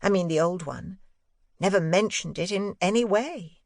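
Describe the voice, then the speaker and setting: A woman's voice: fairly low pitch, moderate pace, dry recording, natural voice. Woman reader as an earnest, tender governess, dry sound. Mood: probing. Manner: earnest, probing. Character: anxious, tender governess questioning a child. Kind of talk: audiobook dialogue, literary ghost story.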